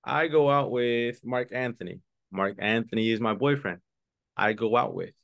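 A man teaching an online English lesson, switching between English and Spanish and repeating key words slowly for his students. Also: medium pitch, creaky, fairly bright tone, no echo, clean studio sound. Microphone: video call webcam.

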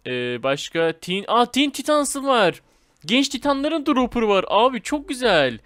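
A man giving casual, excitable gameplay commentary in informal slang, talking straight to his viewers. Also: sits high, clean tone, dry recording, clean studio sound. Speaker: gaming video host.

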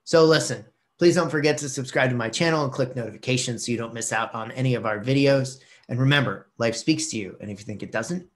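A middle-aged man hosting his own video channel, telling a personal story to the camera with lively gestures and acted-out quotes, recorded in a small room at home on a webcam. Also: mid-range voice, fast talker, few pauses. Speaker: vlog host.

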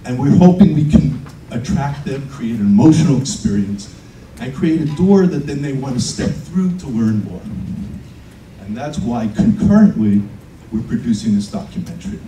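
A man enthusiastically pitches a project to a conference audience. His voice is very mellow and warm. He is heard from a distance in a reverberant room with faint noise. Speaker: panel presenter, filmmaker. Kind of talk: presentation to an audience. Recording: roomy conference hall audio from a distance.

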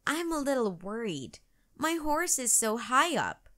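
A woman's voice: sits high, big pitch swings, measured pace, bright, forceful tone, voice pushed up, exaggerated voice. A woman voicing an eager, plucky little girl in a children's storybook, exclamatory and enthusiastic. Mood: hesitant.

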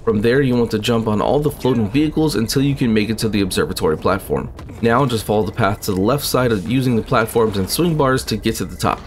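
A man talks through a game guide at a brisk clip, with a lowish voice. He gives step-by-step directions plainly on a close voice-over mic. A faint noise floor sits behind him. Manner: brisk, instructive, matter-of-fact. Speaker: gaming channel host. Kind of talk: walkthrough narration.

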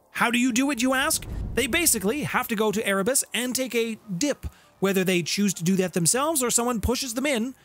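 A middle-aged man presenting a scripted explainer on game lore, with playful, theatrical emphasis, close on a studio mic. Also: sits high. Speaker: host of a tabletop game lore channel. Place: home room with guitars, indoors. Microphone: close studio condenser mic with pop filter. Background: background music.

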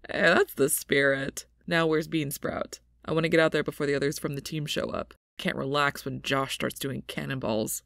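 A woman's voice: low voice, fairly even intonation, moderate pace, vocal fry, hardly any pauses, a bit bright, dry recording, clean studio sound. A woman voicing a playful, laid-back sporty young woman with a jokey drawl in an audio roleplay. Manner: jokey, easygoing drawl with puns.

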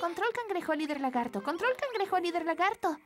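A woman voicing a kind, friendly little girl in a cartoon, speaking in an earnest, expressive way. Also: very high-pitched, fairly quick, heavy background noise. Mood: eager. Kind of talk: animated cartoon dub acting.

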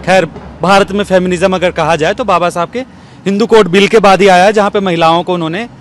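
A man's voice: high voice, steady tone, fast talker. A young man working as an interviewer, putting questions on location into a handheld microphone. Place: outdoors on a bench in a courtyard. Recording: outdoor street noise, traffic behind.

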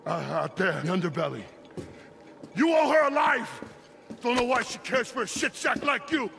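An actor playing a hostile male wasteland guard who shouts and barks orders in a video game. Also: very high voice, animated, moderate pace, creaky, exaggerated voice. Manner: shouting, abusive, barking orders.

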